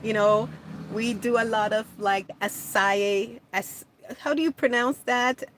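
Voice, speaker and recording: A woman's voice: fairly high pitch, steady tone, measured pace, noisy background. A woman born in Jamaica, chatting casually on a livestream, answering viewer comments and laughing often. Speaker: livestream host. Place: home in California.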